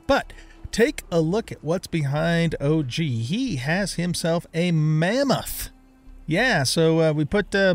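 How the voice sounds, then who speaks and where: Older American male gaming host, somewhat higher-pitched voice, folksy and easygoing, casual let's play narration. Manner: folksy, easygoing, thinks aloud.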